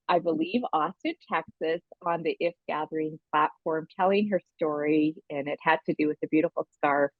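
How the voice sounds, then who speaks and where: A woman's voice: low-pitched, dry recording, phone-quality sound. A woman co-hosting a podcast, introducing a guest in a conversational interview style.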